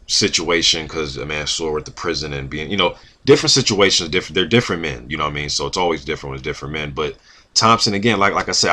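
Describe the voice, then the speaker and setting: Deep, bright-voiced man talking boxing. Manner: informal, emphatic, slangy with occasional swearing. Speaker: boxing commentator, vlog host. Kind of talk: casual opinion commentary, reading quotes aloud.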